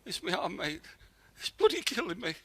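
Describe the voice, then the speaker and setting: An actor playing a gruff but friendly British wartime neighbour on blackout patrol in a radio play, with a raspy, strained voice. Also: male voice, high-pitched, huge pitch swings, moderate pace, sharp tone, exaggerated voice. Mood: pained.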